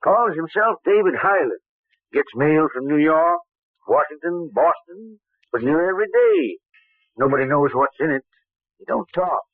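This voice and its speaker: A folksy, laconic New islander in an old radio drama. His voice is fairly high and lilting, dryly drawling. The recording is narrow and tinny. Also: expressive, moderate pace, no room sound. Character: folksy, laconic male island selectman and boatman. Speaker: actor. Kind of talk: 1940s radio drama acting.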